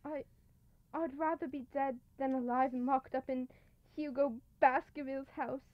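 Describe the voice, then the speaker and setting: A woman's voice: high-pitched, steady tone, normal speed, no echo, close to an everyday voice. A woman playing a frightened but brave captive young maiden in old-fashioned radio drama style, muffled. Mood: desperate, brave. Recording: dull, muffled lo-fi sound.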